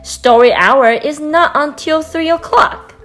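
A woman voicing a stern, rule-bound female head librarian for a children's storybook, speaking in a prim, firm and measured way. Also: high voice, a bit bright, faint music behind, pitched above the performer's own voice. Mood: prim, softening.

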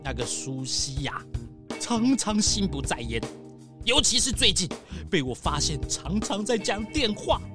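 A woman's voice: mid-range voice, big pitch swings, a little slow, over music. A woman playing a nervous, paranoid male squirrel tycoon in a children's audio detective story, stammering and exclaiming dramatically. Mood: suspicious.

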